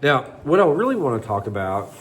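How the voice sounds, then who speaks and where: A man hosting a bass fishing channel, explaining lure technique in a relaxed, conversational way with lots of fillers, sounding stuffy from allergies. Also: fairly quick, slight background noise. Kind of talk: casual tackle demonstration.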